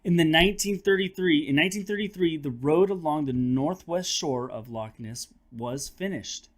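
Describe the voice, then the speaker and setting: A man hosting a children's read-aloud, telling the story in a dramatic, expressive way with chatty asides. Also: on the high side, normal speed. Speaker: host and teacher reading aloud to children.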